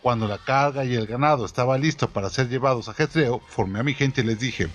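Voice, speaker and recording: A man reading a first-person story aloud in a steady storytelling manner, his voice falling low at the ends of phrases. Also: fast talker, slight background noise. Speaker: narrator. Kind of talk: scripted audiobook narration.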